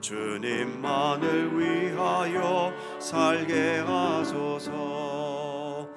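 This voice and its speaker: Woman, slightly low voice, very slow devotional hymn singing over loud music. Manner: drawn-out, devotional phrasing. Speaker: worship singer. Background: loud accompanying music.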